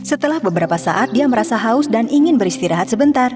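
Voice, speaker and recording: A woman narrates a children's cartoon story in a calm, clear way. Her voice sits a little high. Clear background music plays throughout. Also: quick pace. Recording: music behind.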